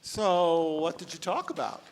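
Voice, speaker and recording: A man's voice: fairly high pitch, unhurried, a little hiss or hum. A man playing an easygoing, politely curious searcher in a live staged play reading, casual in manner. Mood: casual, inquiring. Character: easygoing, hungry, politely probing searcher for a missing friend. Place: theatre stage before a live audience. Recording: reverberant theatre hall, live stage.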